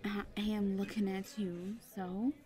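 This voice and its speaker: An actress playing a teasing, encouraging woman confidante, coaxing, playful and often hushed, in a conversational audio drama. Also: medium pitch, level tone, takes it slowly, soft background music, close to an everyday voice.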